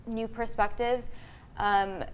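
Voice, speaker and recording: A woman's voice: on the high side, flat delivery, slow, a little hiss or hum. A young woman from the United States answering casually as an interview guest, often saying "like". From Houston, United States. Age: young adult. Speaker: fellowship guest, college student.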